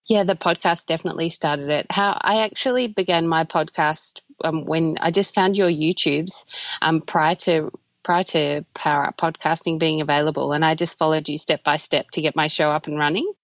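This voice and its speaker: A woman's voice: sits low, steady tone, normal speed, dry recording, highs cut off. An Australian woman in her thirties, a podcast guest chatting casually with lots of ums and small laughs. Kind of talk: casual interview chat.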